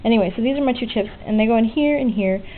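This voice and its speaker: A woman's voice: fairly high pitch, racing. A woman giving a casual, unscripted hands-on demonstration, explaining things in an informal and frank way. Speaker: DIY electronics hobbyist presenting a tutorial. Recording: muffled phone-like lo-fi audio with faint noise.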